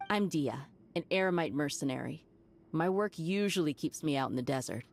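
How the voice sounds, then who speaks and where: A woman's voice: sits low, lively intonation, normal speed, creaky, a little mellow, exaggerated voice. A woman voicing a friendly, curious, polite young desert mercenary in a video game. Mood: curious, apologetic. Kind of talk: scripted video game voice acting.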